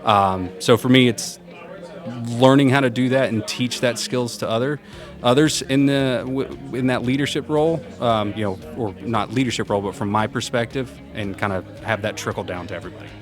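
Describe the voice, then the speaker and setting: A young man with a loud voice, answering interview questions casually at a conference and laughing often. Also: medium pitch, normal speed, soft background music. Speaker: event program manager, interview guest. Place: conference venue.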